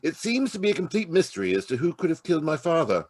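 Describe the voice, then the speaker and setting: A man acting as a formal, guarded gentleman of a wealthy household in an old-time radio mystery. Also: a little high, big pitch swings, fast talker. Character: formal, guarded adult son of murdered millionaire. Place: remote video call performance.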